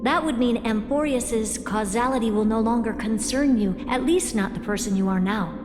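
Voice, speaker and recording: A woman playing an aloof, all-knowing genius who gives grave counsel in a measured, cryptic way in a video game. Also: a little high, lightly acted. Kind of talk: dramatic video game voice acting. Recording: very echoey long reverb, music behind.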